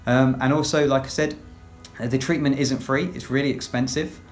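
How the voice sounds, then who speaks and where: English man speaking in measured tones. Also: mid-range voice, little pitch movement, faint music behind. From England, West Sussex. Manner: measured, with frequent fillers. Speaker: children's pastor. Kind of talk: recorded personal update.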